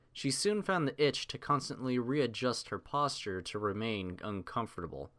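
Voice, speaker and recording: A man with a lowish voice narrates a scripted story. His delivery is measured and theatrical, with a lively, wide-moving intonation suited to an audiobook.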